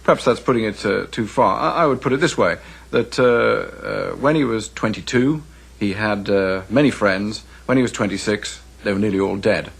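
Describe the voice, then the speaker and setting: A man with an English accent giving unscripted scholarly commentary in an interview, speaking in a deliberate, halting, explanatory way. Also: lowish voice, vocal fry, breathy edge.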